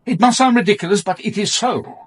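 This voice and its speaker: An actor playing a smooth-talking, evasive married lover pleading with his mistress in a radio drama, stammering when pressed. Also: sits high, brisk. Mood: earnest, defensive.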